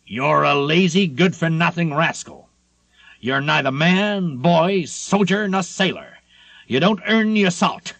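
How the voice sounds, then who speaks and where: A man's voice: a little high, takes it slowly, gravelly, a bit bright, no room sound, exaggerated voice. A man voicing a stern, commanding old-time sea captain in an audiobook, speaking with scornful emphasis. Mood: scornful, angry. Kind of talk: audiobook character voice.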